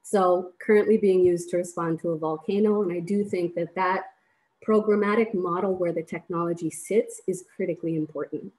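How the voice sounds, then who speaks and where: Earnest lady from vanuatu presenting on a laptop call, lowish voice, steady explaining tone. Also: normal speed, poor audio. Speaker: panelist. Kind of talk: webinar presentation. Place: small bedroom at home. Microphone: laptop webcam on a video call.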